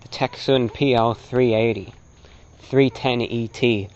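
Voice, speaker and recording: Man, mid-pitched voice, emphatic and deliberate, opinionated lecture delivery, slightly dull lo-fi recording. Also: fairly even intonation, a little mellow. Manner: emphatic, deliberate, rhetorical phrasing with repetition. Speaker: host and presenter, radio broadcaster. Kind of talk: opinionated informal lecture on radio.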